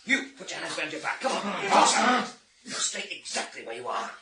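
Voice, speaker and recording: Commanding police inspector, animated and firm. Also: male voice, a little high, a little fast. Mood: commanding, urgent. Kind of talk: radio thriller acting. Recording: clear background noise and clicks.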